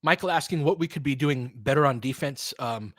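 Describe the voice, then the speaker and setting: A man's voice: mid-range voice, fairly quick, fairly bright tone, dry recording. A man hosting an informal live play-by-play, commentating and analysing in a conversational, fan-like way as he chats with listeners. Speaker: live audio play-by-play host.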